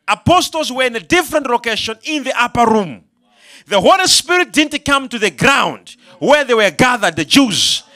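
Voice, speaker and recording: Male preacher, very high and bright voice, calls out to the congregation and builds to shouts. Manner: call-and-response, rising to shouts. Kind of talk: sermon.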